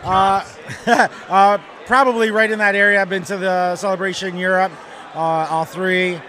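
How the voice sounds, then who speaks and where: A man's voice: high voice, steady tone, normal speed, sharp tone. A man originally from Chicago, a guest fan, talking in a laid-back friendly way. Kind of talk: casual chat. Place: busy hotel bar. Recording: crowded bar background.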